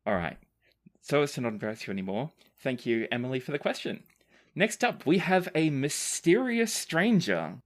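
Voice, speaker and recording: A man's voice: medium pitch, very animated, moderate pace. A man hosting a casual question-and-answer chat as game master, speaking in a sincere, appreciative way. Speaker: podcast game master and Q&A host.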